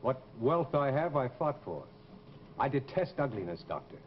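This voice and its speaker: A man's voice: mid-range voice, big pitch swings, normal speed, natural voice. An actor playing a bitter, secretive, menacing man in an old-time radio horror drama, speaking formally and coldly. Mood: bitter, insistent. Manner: cold, formal, insistent. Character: bitter, menacing, secretive former disfigured patient. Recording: muffled, noisy old radio recording.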